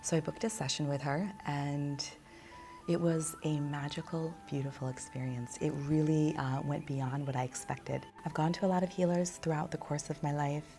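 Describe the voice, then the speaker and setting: A woman giving a reflective personal testimonial in measured, articulate phrases with pauses. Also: low-pitched, steady tone, warm tone, faint music behind.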